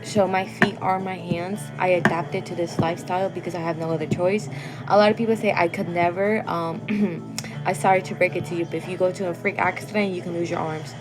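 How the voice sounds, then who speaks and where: A woman's voice: on the low side, fairly even intonation, fairly quick, over music, poor audio. A young woman chatting casually on a phone livestream; English is not her first language, and she laughs often and sometimes stumbles over words. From Guatemalan family.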